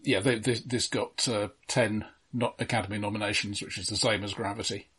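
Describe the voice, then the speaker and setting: A man co-hosting a film podcast, talking casually in a measured, hesitant way with dry understatement. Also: fairly low pitch, fairly even intonation, a bit bright, slightly rough.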